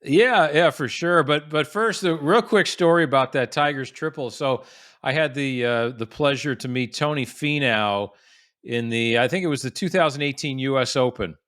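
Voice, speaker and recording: A man's voice: medium pitch, moderate pace, fairly bright tone, dry recording, clean studio sound. A man in his forties from the United States, a golf professional telling stories as a podcast guest, with lots of restarts and fillers. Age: 40s. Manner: chatty storyteller with frequent restarts and fillers. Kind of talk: casual podcast interview.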